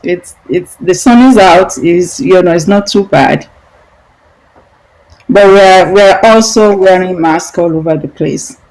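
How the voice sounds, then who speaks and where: A woman's voice: fairly low pitch, slow pace, darkish tone, rough recording. A middle-aged woman with a Nigerian accent, answering conversationally as a guest author on a video-call interview. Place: indoor room, video call. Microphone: video-call microphone.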